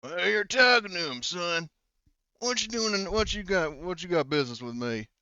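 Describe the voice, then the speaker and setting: Man putting on a high-pitched, folksy backwoods redneck. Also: lively intonation, moderate pace, sharp tone, clear, resonant tone, no echo, muffled recording, full character voice. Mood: wary, challenging. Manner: folksy, drawling backwoods speech. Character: suspicious rural redneck man, gruff colony settler.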